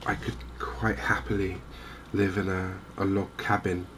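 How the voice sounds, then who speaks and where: Slow, low-voiced man murmuring gaming commentary. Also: little pitch movement, a bit bright. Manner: hesitant, unhurried, rambling. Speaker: gaming video host. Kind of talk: softly spoken ASMR gaming commentary. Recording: clear game ambience noise behind voice. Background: outdoor wildlife ambience from a fishing game.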